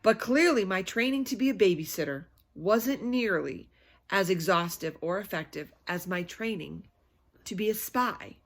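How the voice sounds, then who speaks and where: A woman's voice: mid-range voice, lively intonation, normal speed. A stern, indignant storybook babysitter played by a woman reading aloud. She speaks with haughty emphasis and wordy, proud authority, like a trained professional who will not be argued with. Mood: proud, unapologetic.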